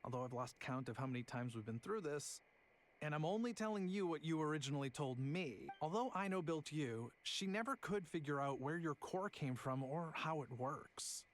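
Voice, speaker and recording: A man's voice: on the high side, animated, moderate pace, natural voice. A man voicing an easygoing young traveller in a video game, explaining in a wry, conversational way.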